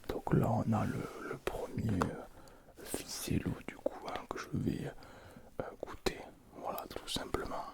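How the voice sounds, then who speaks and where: A man hosting an ASMR tasting in a casual, hushed, low voice. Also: unhurried, creaky. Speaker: ASMR video host. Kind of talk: whispered ASMR food tasting.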